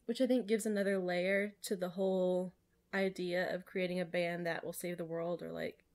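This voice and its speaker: A woman's voice: on the low side, little pitch movement, normal speed, a little mellow. A woman co-hosting a podcast, moving between casual chat and reading passages aloud. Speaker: podcast co-host.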